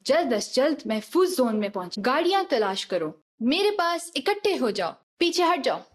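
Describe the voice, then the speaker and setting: A woman's voice: sits high, sing-song, moderate pace. A woman voicing a female soldier squadmate in a shooter game, giving short, clipped tactical commands. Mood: commanding. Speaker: game voice pack announcer.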